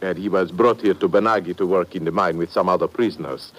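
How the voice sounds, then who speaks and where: Low-voiced, earnest Czech conspirator. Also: male voice, fast talker, fairly bright tone. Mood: grave. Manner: formal, careful, uncontracted phrasing. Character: earnest Czech man, grim brother of a dead prisoner. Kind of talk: old-time radio thriller acting.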